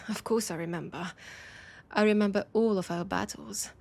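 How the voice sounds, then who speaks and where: A woman with a French accent playing a teasing, nostalgic, centuries-old vampire in an intimate audio roleplay, her breathy voice often dropping to a whisper. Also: medium pitch, fairly even intonation, normal speed, a little mellow. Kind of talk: intimate audio roleplay, one-sided dialogue.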